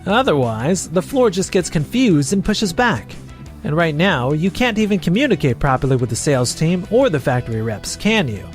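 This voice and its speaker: A capable elder brother from a manga story dub, played by a man, blunt and sure of himself as he admonishes. The voice is a touch high and very animated in pitch, with faint music behind it. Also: normal speed. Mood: stern, admonishing. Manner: assured, admonishing. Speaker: voice actor and narrator in manga dub.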